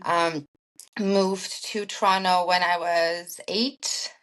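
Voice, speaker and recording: A woman from Armenia, a physicist guest on a podcast, speaking in halting, reflective phrases with restarts. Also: fairly low pitch, steady tone, fairly bright tone, rough recording. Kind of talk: casual interview chat.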